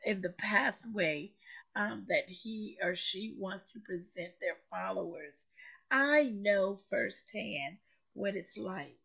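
A hoarse woman hosting a motivational show, speaking in a preachy, emphatic way and repeating phrases for effect. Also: medium pitch, a bit bright, dull audio. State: hoarse, recovering lost voice. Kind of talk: slow motivational talk.

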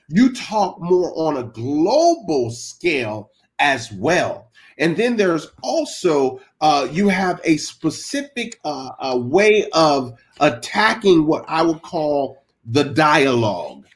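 A man hosting a faith-based interview, talking in an emphatic, preacherly way. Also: a little high. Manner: emphatic, preacherly, frequent affirmations. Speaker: host.

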